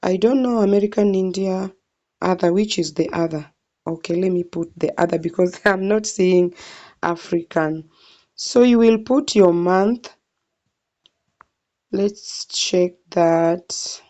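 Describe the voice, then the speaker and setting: A young woman with a Kenyan accent talks slowly in a low, mellow voice as she narrates her screen. She thinks aloud and keeps calling the viewers guys. The sound is dull and lo-fi. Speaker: tutorial vlogger. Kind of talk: screen-recorded walkthrough.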